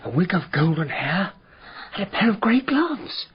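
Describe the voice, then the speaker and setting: A man's voice: sits high, big pitch swings, normal speed, breathy edge, full character voice. An actor playing a detective's earnest male companion in a radio drama. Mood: startled. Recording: dull, muffled radio drama recording.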